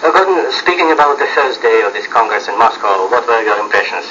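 A man's voice: mid-range voice, fast, bright, forceful tone. A man working as a radio interviewer, putting formal questions on an old shortwave broadcast. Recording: dull, hissy 1980s shortwave radio broadcast.

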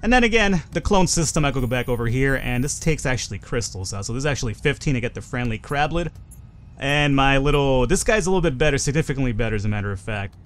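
A man's voice: medium pitch, animated, fast, fairly bright tone, a little hiss or hum. A young man giving casual, rambling, self-deprecating commentary over a game he is playing. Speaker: gaming channel host.

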